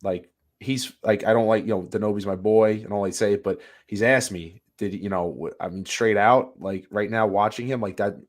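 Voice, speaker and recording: A man with a lowish voice that is raspy while he gets over a sinus infection. He rambles through casual podcast talk, restarting sentences and leaning on "like" and "you know.". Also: normal speed, few pauses. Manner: rambling, animated, many restarts and fillers. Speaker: podcast co-host.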